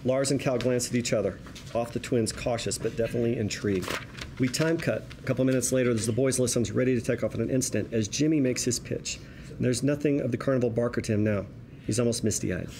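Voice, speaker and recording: A man's voice: medium pitch, steady tone, fast, background noise. A man reading stage directions as scripted narration at a table read.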